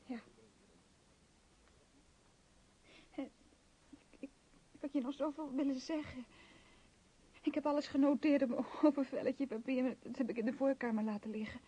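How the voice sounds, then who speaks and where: A woman's voice: high voice, fairly even intonation, brisk, pauses often, warm tone, breathy edge. An actress playing an anxious young woman in a radio play, pleading with her fiancé in hesitant, stammering phrases. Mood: hesitant, wistful. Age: young adult. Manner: hesitant, stammering, repeating words. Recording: muffled old radio drama recording.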